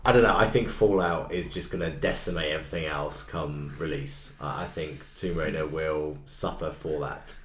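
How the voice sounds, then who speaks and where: A man in his thirties co-hosting a casual gaming chat, relaxed and a little hesitant with his views. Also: very deep voice, moderate pace, hardly any pauses, a little hiss or hum. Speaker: co-host. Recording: roomy echo.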